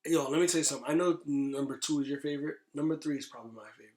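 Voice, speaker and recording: A man's voice: a little high, racing, crowd noise. A man in a casual podcast chat, slangy and agreeable, restating his points as he goes. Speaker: podcast guest. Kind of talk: casual podcast chat about movies and games.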